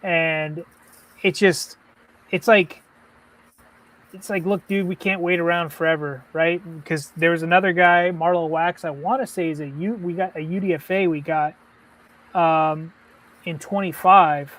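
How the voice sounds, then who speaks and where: A man with a somewhat high voice co-hosts a casual live sports stream. He talks in a loose, rambling way, filling his speech with "like" and "you know". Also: steady tone, a little slow, a bit bright, rough recording. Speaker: podcast co-host.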